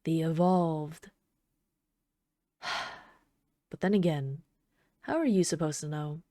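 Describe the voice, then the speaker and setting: Woman acting a mellow, low-voiced obsessive villainess. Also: no room sound, clean studio sound. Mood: admiring, musing. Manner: soft, unhurried, teasing, casually menacing. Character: obsessive yandere villain, flirtatious bomber calmly toying with trapped hero. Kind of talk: ASMR roleplay, intimate scripted monologue.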